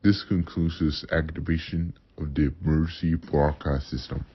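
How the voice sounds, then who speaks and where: Man, deep, very rough unclear voice, scripted alert announcer, muffled lo-fi audio. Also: fairly even intonation, normal speed. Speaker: emergency alert system announcer. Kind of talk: short scripted system announcement.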